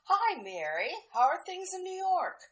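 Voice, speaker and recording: A woman's voice: very high voice, very animated, moderate pace, background noise. A woman playing a breezy, gossipy housewife. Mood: chatty. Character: cheerful chatty housewife friend. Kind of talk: amateur radio drama acting.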